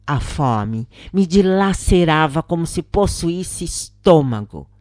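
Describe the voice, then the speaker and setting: A Brazilian woman reading a scripted account as a dramatic narrator, with solemn, theatrical, deliberate phrasing. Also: sits low, expressive, darkish tone, dry recording, clean studio sound.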